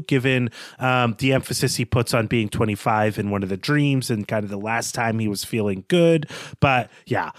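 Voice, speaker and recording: A man's voice: medium pitch, no echo. A man co-hosting a podcast in a conversational literary discussion, speaking analytically and speculatively on a close microphone. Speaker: podcast co-host.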